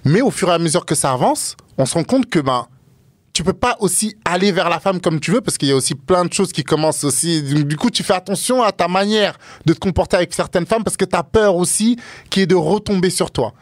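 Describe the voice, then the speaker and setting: A man from Africa with a deep, carrying voice, chatting casually as a guest and voicing other people's remarks as he tells things. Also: lively intonation, a little fast, dry recording, studio quality. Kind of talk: casual conversation.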